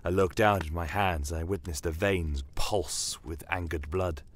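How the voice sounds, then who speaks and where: Deep voice, man playing a crimson-skinned desert war commander, shrewd, bitter, theatrical, audio drama, ambience behind. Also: a little hiss or hum, natural voice. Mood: measured storytelling. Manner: measured, theatrical, pointed rhetorical questions. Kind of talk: dramatic first-person audio story narration. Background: battle aftermath ambience.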